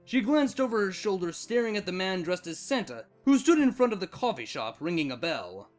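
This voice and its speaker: A man's voice: sits high, sing-song, brisk, faint music behind. A man reading scripted storybook narration.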